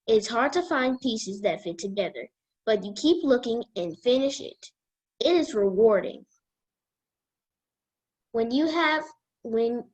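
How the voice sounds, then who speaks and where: A woman guest reader reading a children's book aloud in a deliberate way. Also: on the high side.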